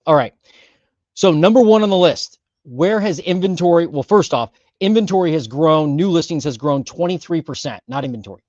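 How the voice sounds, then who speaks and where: A man's voice: fairly high pitch, darkish tone, no room sound, muffled recording. Chatty man hosting a live stream. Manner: chatty, filler-heavy, self-correcting. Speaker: host of a housing market livestream. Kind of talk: casual livestream data rundown.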